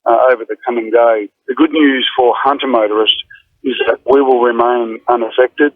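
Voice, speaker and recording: An Australian man comments as a director in an interview clip. His mid-pitched voice comes haltingly through a tinny, dry phone line. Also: fairly even intonation, dry recording. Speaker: motoring association director.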